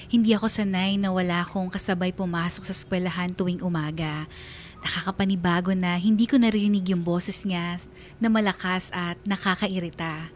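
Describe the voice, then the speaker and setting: A woman's voice: mid-range voice, a little hiss or hum. A woman reading a scripted first-person radio drama narration in a confiding, sentimental manner.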